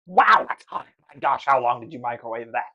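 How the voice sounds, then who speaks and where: Man, medium pitch, casual livestream chat, halting, repetitive phrasing, grumbling. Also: big pitch swings, bright, forceful tone, muffled recording. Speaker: streamer. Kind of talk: casual chat while tasting food on a livestream.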